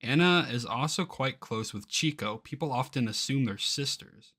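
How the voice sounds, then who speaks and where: A man giving casual reaction commentary as he reads aloud from a web page, excitable and quick to exclaim in disbelief. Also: mid-range voice, breathy edge. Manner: excitable, easily incredulous, exclaiming. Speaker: YouTuber reacting to a game's crowdfunding page.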